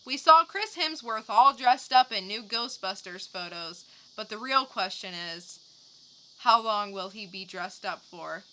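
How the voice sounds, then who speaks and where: A woman's voice: mid-range voice, lively intonation, measured pace, sharp tone, a little hiss or hum, low-quality recording. A woman co-hosting a podcast, delivering short news items and remarks with dry, joking timing. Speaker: podcast co-host. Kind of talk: scripted joke news items and casual chat.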